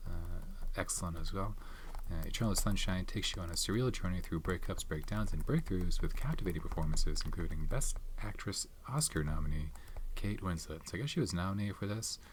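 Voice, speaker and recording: Male ASMR host, very low voice, soft-spoken, meandering delivery, faint noise floor. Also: lively intonation. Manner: rambling, pauses to recall names. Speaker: ASMR host reviewing movies.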